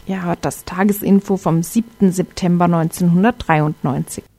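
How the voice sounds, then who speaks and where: A woman makes a radio station announcement. Her voice is lowish and mellow, recorded very dry. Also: steady tone, normal speed, no echo.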